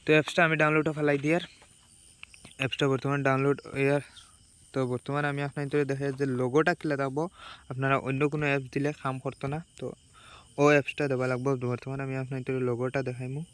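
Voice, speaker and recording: The Sylheti man on a small how-to channel, rattling through tap-by-tap instructions in an even, unvarying voice. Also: medium pitch, racing, clean tone. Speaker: tech vlogger giving a phone tutorial. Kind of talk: casual step-by-step tutorial.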